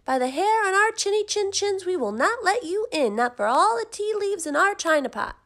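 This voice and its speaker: Three tiny storybook wolves, voiced by a woman, scared yet stubborn. Their voices are squeaky and high, and they shout their refusals loudly and emphatically. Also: sing-song, moderate pace, exaggerated voice. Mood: defiant. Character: three little wolves, small, frightened but defiant.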